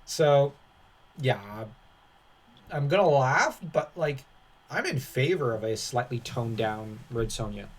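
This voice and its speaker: A man speaks at a middling pitch in the loose style of an online commentator. He rambles and halts as he works through his opinions. His manner is dismissive and dryly sarcastic. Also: lively intonation, takes it slowly, poor audio. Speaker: commentary channel host.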